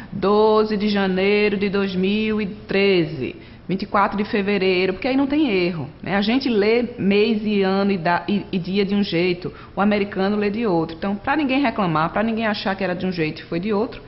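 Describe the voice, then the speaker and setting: A woman's voice: mid-range voice, lo-fi sound, slight background noise. A woman teacher giving a recorded distance-learning lecture, talking conversationally and often checking in with tag questions. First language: Portuguese. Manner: conversational, frequent tag questions, addresses students directly.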